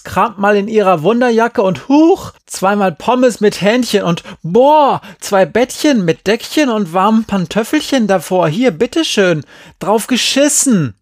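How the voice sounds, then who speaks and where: A man's voice: fairly high pitch, no echo, clean studio sound, lightly acted. A man voicing a feisty, streetwise young girl in an audiobook, brash, slangy and emphatic. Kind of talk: acted audiobook dialogue.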